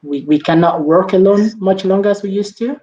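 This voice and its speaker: A man speaking thoughtfully as a panelist in a webinar discussion, pausing often with fillers, over a video call. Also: sits high, mellow, dull audio. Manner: thoughtful, hesitant with many fillers.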